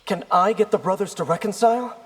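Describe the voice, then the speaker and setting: An actor playing an earnest, wounded younger ninja brother in a video game, questioning and sincere. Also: male voice, sits high, fast talker, close to an everyday voice. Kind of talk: video game fight-intro dialogue. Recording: reverberant hall, noisy background.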